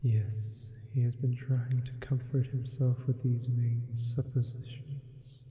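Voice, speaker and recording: Guy doing a sleep paralysis demon reading horror asmr, hushed and even, echoey reverb, muffled thin sound. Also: mid-range voice. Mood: hushed, sinister. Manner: hushed, slow, deliberate, often whispered. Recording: heavy reverb, muffled phone-like band.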